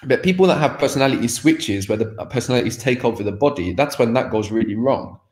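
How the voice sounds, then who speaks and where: A man's voice: mid-range voice, expressive, quick pace, a little mellow. Man talking frankly in an echoey room. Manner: rambling, frank, laughs often, restarts. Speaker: guest, language course creator. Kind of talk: candid personal conversation. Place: home room by a sofa. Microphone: video call. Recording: echoey room over video call.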